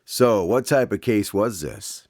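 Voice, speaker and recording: An actor playing a courteous radio host, speaking in a polished, formal announcer's manner in a scripted drama. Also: male voice, on the low side, animated, takes it slowly, darkish tone, no room sound, clean studio sound, full character voice. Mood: curious, courteous. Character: courteous male radio host, eager visitor to the doctor.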